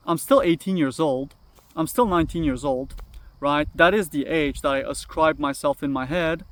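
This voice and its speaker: A man's voice: on the high side, no room sound. A young man giving an earnest self-help lecture to camera, often ending points with "right?". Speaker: self-improvement YouTuber. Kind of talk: talking-head self-help monologue.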